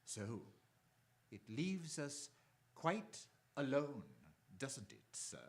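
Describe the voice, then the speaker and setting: A man playing a menacing, coldly polite criminal mastermind in a radio drama, speaking formally and deliberately. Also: medium pitch, very animated, full character voice. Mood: silky menace. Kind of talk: stage-style radio drama acting.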